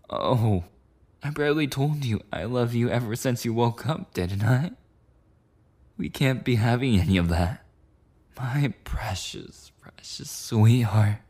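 A man acting a slow, low-voiced obsessive boyfriend. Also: mellow. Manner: rambling, pleading, cooing, lapsing into whispers. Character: clingy, obsessive yandere boyfriend, tender and possessive. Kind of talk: boyfriend ASMR roleplay, intimate monologue.

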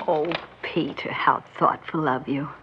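Vain, husky, middle-aged mother. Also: sits low, fairly even intonation, measured pace, exaggerated voice. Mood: evasive, pleasant. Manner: proud, fretful, theatrical. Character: vain middle-aged mother and grandmother dreading old age. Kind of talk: old-time radio drama acting. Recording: muffled old radio recording.

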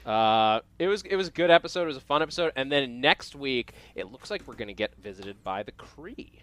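An upbeat male host with a smooth, clean voice at a middle pitch. He chats loosely about the week's episodes, with plenty of "uh" as he goes. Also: expressive, fairly quick, a bit bright, no echo. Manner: upbeat, chatty, lots of filler. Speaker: show host. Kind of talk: casual entertainment news chat.

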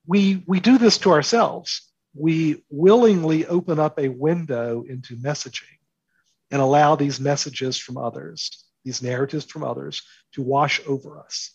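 Husky, higher-voiced man explaining emphatically. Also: darkish tone, dry recording, muffled recording. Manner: emphatic, with deliberate repetition for stress. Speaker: interview guest, author and commentator. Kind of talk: explanatory interview talk.

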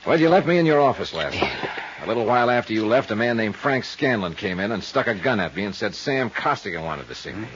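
Wry hard-boiled detective, lively low voice. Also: male voice, fast talker, a little hiss or hum. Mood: brisk, insistent. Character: hard-boiled male private insurance investigator, wry and unflappable. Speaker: lead actor and narrator of radio drama. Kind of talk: 1950s radio detective drama acting. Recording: muffled 1950s radio drama recording.

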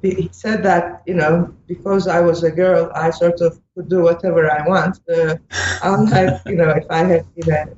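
A middle-aged woman from Turkey with a Turkish accent, talking reflectively and haltingly as an interview guest, with very frequent hesitations. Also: lowish voice, fairly even intonation, darkish tone. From Istanbul, Turkey. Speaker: interview guest, sociology professor and author. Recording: echoey, muffled, distant, noisy remote line.

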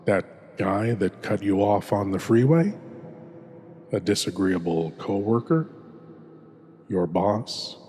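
A man playing a horror host who lurks in the shadows, wry and sinister. He speaks slowly and theatrically in a rough, husky voice, with long reverb and music behind him. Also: on the low side, a little mellow, exaggerated voice.

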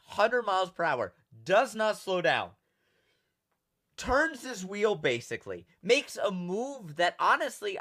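Young male podcast co-host, trained theater projection, bright voice, lively swings in pitch. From Rhode Island. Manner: loud, projecting, animated storyteller. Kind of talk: casual chat.

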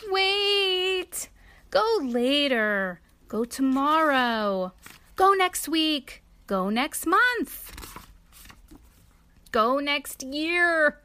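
A woman voicing an anxious, clingy male elephant in a read-aloud storybook, pleading in a high, loud, drawn-out voice. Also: big pitch swings, heavily acted.

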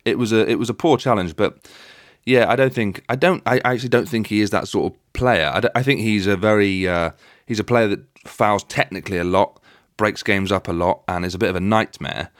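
A man's voice: lowish voice, fairly quick, no room sound, studio quality. A man hosting a podcast, chatting casually about football. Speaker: podcast host.

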